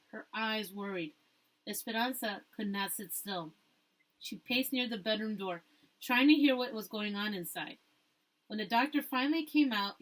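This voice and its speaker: A woman teacher reading a storybook aloud to pupils and pausing to ask them questions. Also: mid-range voice, rough recording.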